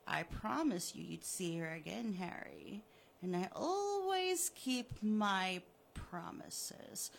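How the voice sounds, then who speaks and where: A woman voicing a sadistic man who taunts his victim in a soft, mocking, drawn-out way. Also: medium pitch, sing-song, creaky, slight background noise, exaggerated voice. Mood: taunting. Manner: mocking, softly menacing, drawn out. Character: gloating sadistic male killer, taunting.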